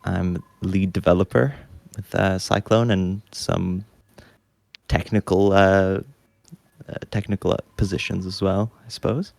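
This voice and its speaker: Deep gravelly man, dry sound. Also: fairly even intonation, a little slow, darkish tone, no room sound. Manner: hesitant, repeats words. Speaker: lead developer, guest. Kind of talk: casual interview answers.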